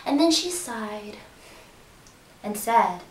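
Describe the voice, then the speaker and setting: A woman telling a folktale to young children in a slow, expressive way. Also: a little high, slight background noise. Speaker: storyteller. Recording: roomy echo, distant mic.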